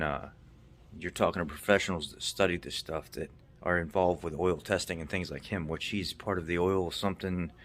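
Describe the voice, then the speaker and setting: Middle aged guy w a low voice, casual car vlog rambling, repeats stuff for emphasis, some noise behind him. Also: a bit bright. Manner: emphatic, repeats points for stress. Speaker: car maintenance video host, mechanic. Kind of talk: casual unscripted monologue recap.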